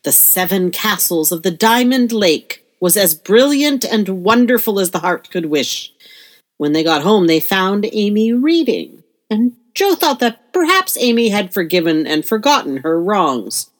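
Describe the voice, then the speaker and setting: A woman's voice: medium pitch, lively intonation, a little slow. A woman giving scripted storybook narration as the narrator of an audio play.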